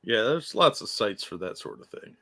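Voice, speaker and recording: A man's voice: lowish voice, big pitch swings, normal speed, sharp tone. A man co-hosting a casual stream, throwing in dry joking asides. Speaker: co-host. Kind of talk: casual banter.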